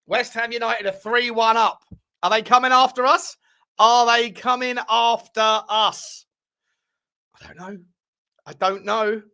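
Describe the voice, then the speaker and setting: A man hosting a live football watchalong, hyped and playful as he chats and shouts out viewers. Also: sits high. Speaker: fan channel host.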